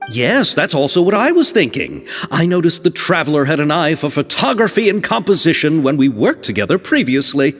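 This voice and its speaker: An actor playing a timid, earnest young filmmaker in a video game, hesitant, stammering and effusively grateful. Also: male voice, fairly high pitch, big pitch swings, fairly quick, hardly any pauses, fairly bright tone, long reverb tail. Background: game music.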